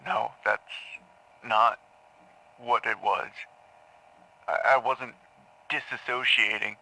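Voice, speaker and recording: A man's voice: medium pitch, steady tone, takes it slowly, creaky, pushed and bright, heavily acted, poor audio. An actor playing a troubled male patient in an audio drama, confused and haunted, stammering and sometimes dropping into a near-whisper. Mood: confused, insistent. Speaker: therapy patient.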